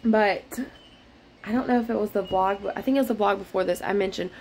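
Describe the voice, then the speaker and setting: A woman's voice: mid-range voice, fairly even intonation, a little fast, darkish tone, a little hiss or hum. A woman in her thirties chatting casually while cleaning, rambling with many fillers, her voice worn after being sick. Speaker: vlogger. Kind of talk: casual cleaning vlog chat. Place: small bedroom in a mobile home. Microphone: phone held at arm's length.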